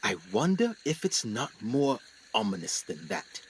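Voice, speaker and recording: A man acting in a radio play as an earnest, excitable explorer doctor, speaking formally with dramatic pauses. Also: on the low side, slight background noise. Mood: intrigued.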